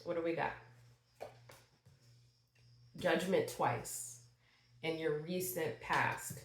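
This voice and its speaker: A woman giving a channeled tarot reading to her viewers, speaking in a slow, spiritual way and sniffling a little from a cold. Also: sits low, slight background noise. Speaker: tarot reader. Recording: echoey room.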